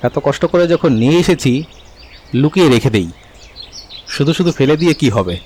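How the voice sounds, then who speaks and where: A man's voice: a little high, sing-song, brisk, a little mellow. A man playing a poor, henpecked but kindhearted village husband in a cartoon, given to grumbling and muttering. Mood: musing.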